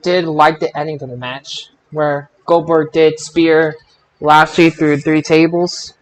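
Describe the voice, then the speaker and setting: Male podcast host, slightly high voice, informal and halting sports recap delivery. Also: flat delivery, rough recording. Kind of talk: casual wrestling results chat.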